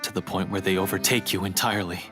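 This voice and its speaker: A man's voice: low-pitched, fairly even intonation, fast talker, lightly acted. Man playing an earnest young hunter over music. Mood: regretful. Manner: earnest, hesitant, self-reproachful. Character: earnest, guilt-ridden young male hunter, insecure, self-doubting. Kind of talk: video game voice acting. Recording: music clearly behind the voice.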